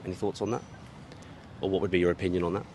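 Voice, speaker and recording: A man's voice: sits low, little pitch movement, racing, darkish tone, slight background noise. A man interviewing passers-by on a city street, hedging and rephrasing his questions. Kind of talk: vox pop questioning.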